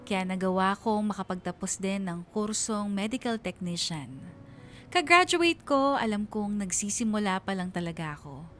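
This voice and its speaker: A woman's voice: mid-range voice, expressive, normal speed, a bit bright, soft background music, close to an everyday voice. Woman acting as young woman telling her story. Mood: reflective. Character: young woman confiding her love and dreams. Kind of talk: first-person radio drama narration.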